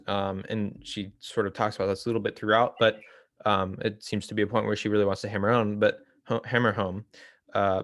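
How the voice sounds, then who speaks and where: A man with a fairly low voice leads an informal theory read-along. He explains things hesitantly and corrects himself as he goes. The sound is dry, with very little room in it. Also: dry recording. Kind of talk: informal book discussion.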